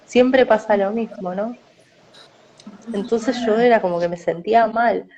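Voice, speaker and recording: A woman with a Rioplatense Spanish accent giving measured interview answers, often tagging her sentences with a questioning "no?". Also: medium pitch, warm tone, slight background noise, low-quality recording. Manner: measured, often adds "no?" tags.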